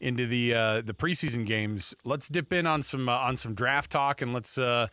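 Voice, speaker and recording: A man's voice: medium pitch, normal speed, pushed and bright, smooth, no room sound. A dry, close studio sound frames an American man with a mid-range voice. He hosts sports radio in a casual, chummy style, talking to people like buddies. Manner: informal, chummy. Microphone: close studio microphone.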